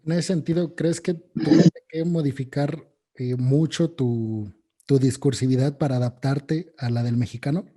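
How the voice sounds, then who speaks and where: Interviewer man, very warm dark voice. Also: medium pitch, a little slow. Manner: brief, polite questioning. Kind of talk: interview questions.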